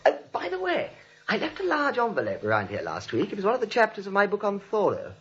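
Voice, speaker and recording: Frantic, husky, flustered man. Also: sits high, huge pitch swings, fast talker, a bit bright, heavily acted. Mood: breezy, distracted. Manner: sputtering, repeats words, incredulous. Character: frantic, flustered nephew, a theatre-going writer. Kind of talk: 1940s screwball comedy acting. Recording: muffled, dull vintage 1940s soundtrack.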